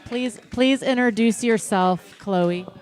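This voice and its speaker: A woman's voice: a little high, slow pace, darkish tone, slight background noise. A woman moderating a forum discussion in an upbeat way, thanking speakers and calling on the next one.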